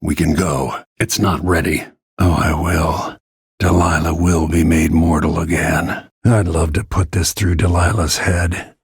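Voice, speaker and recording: A grim middle-aged assassin dude from a video game, deep gravelly husky voice, super dry close sound, terse and broody. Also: male voice, flat delivery, unhurried, no room sound, heavily acted. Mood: grim, brooding. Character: grim, battle-worn royal protector and assassin, protective father. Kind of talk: scripted video game character lines.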